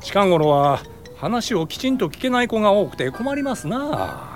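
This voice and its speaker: A man's voice: high-pitched, huge pitch swings, normal speed, soft background music. A man playing a pompous, lecturing former school principal turned child welfare officer in a radio drama. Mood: defensive. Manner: lecturing, self-important, indignant.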